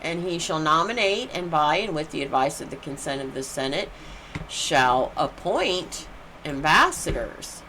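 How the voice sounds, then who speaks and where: A woman with a fairly low voice reads lesson material aloud at a very slow pace. She breaks it into deliberate phrases, as a class leader would. Faint noise sits behind her. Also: animated, pushed and bright, low-quality recording. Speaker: class leader reading numbered study questions and answers. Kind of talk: read-aloud lesson with brief informal asides.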